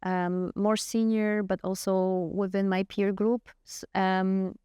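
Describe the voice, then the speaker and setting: A woman's voice: mid-range voice, flat delivery, takes it slowly, warm tone, smooth, no echo, clean studio sound. A middle-aged woman from Poland with a Polish accent, speaking earnestly and frankly as an interview guest, often restarting her sentences. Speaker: guest scientist. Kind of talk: conversational interview answers.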